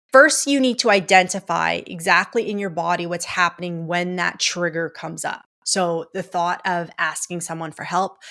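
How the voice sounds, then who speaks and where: A woman's voice: lowish voice, a bit bright. A young adult woman coaching listeners through a skill step by step, often checking in with "okay?". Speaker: coach and podcast host. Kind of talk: casual coaching talk.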